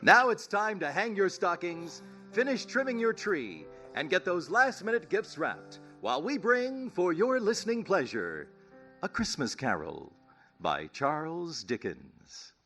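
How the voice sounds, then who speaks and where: A man plays a chipper, folksy announcer from 1930s radio. He pitches high with lively swings, polished and salesmanlike, over music. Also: measured pace, exaggerated voice. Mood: festive.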